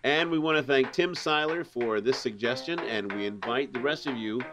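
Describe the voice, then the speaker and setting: A man's voice: mid-range voice, moderate pace, over music. A man hosting a podcast in casual round-table chat. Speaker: podcast host.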